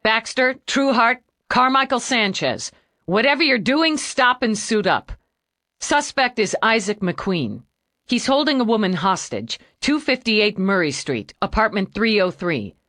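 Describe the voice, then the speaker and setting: A woman's voice: a little high, very animated, a little slow, fairly bright tone, no room sound, clean studio sound, pitched above the performer's own voice. A woman voicing a tough female homicide lieutenant who gives blunt, curt orders. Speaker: police lieutenant.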